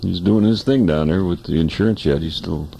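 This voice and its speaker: A man's voice: low voice, a little fast, creaky, mellow, a little hiss or hum. A man with a nasal voice, a musician dropping by a radio show, chatting and making self-mocking jokes he chuckles at. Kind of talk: casual chat.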